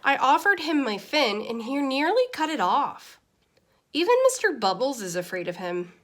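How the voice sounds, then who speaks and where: A woman voicing a small, easily exasperated pet goldfish narrating a diary in an expressive, deliberate children's picture-book read-aloud. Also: sits high, pitched above the performer's own voice.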